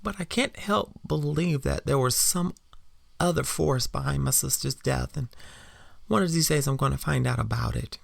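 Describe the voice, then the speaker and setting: A man's voice: medium pitch, normal speed, creaky, no echo. A man telling a first-person dramatic story as a woman character, in a reflective, theatrical manner. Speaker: podcast storyteller.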